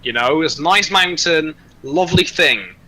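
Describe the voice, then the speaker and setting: Blunt, bright-voiced sweary young-sounding man. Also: a little high, lively intonation, normal speed, slight background noise, low-quality recording. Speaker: streamer. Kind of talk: casual chat.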